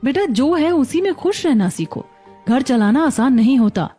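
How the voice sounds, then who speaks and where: A woman playing a sensible, patient young mother and daughter-in-law, gently reasoning in a Hindi cartoon story. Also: high voice, lively intonation, moderate pace, breathy edge, faint music behind. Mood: gently scolding.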